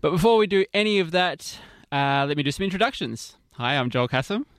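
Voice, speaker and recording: A man's voice: a little high, animated, fairly quick, fairly bright tone, clean tone, no echo. An Australian man hosting a casual sports radio show in a studio, laid-back and chuckling as he banters. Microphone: studio microphone.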